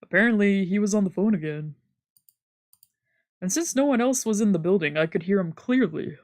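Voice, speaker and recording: A woman streamer reading a visual novel aloud with steady narration. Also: medium pitch, brisk.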